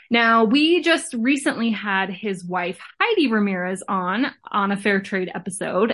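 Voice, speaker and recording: A woman's voice: mid-range voice, fairly bright tone. Female podcast host, mid-range voice, conversational interviewing.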